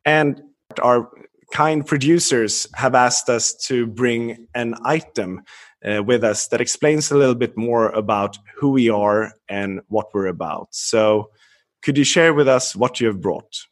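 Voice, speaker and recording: Swedish podcast host, mid-pitched man. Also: a little slow. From Sweden. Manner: scripted intro, then loose chat with fillers. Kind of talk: podcast interview.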